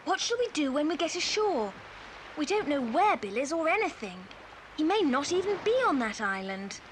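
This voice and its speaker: On a noisy old story tape, a very high and lively voice speaks. It is an anxious young girl adventurer, full of questions, in a 1980s drama style. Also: normal speed. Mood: worried. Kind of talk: 1980s audio drama acting. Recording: noisy old cassette tape, engine sounds.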